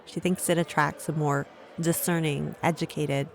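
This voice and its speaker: A woman's voice: deep voice, level tone, moderate pace, gravelly, slight background noise. A woman acting a desperate, earnest street girl of about thirteen in a fantasy audio drama. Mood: desperate, pleading. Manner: pleading, earnest.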